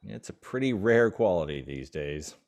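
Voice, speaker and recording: An actor playing the exasperated, wry boyfriend of a stage star in a radio play, teasing and reproving. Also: male voice, low-pitched, expressive, moderate pace, darkish tone. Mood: casual, defensive.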